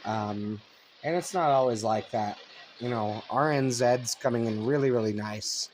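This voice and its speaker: American man, casual hobbyist vlogger, medium-pitched, musing and unhurried in manner, recorded at home with plainly audible radio static behind him. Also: darkish tone, rough recording. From Colorado, United States. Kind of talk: casual off-the-cuff demonstration commentary. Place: upstairs bonus room at home. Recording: home recording with clear background noise. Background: shortwave radio static and stations.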